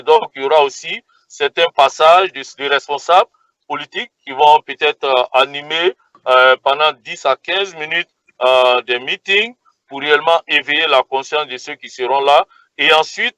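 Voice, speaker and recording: Man, protest organizer, hesitant with fillers, fairly high voice, dry close sound. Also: a bit bright, no echo, poor audio. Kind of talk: explaining event plans in an interview.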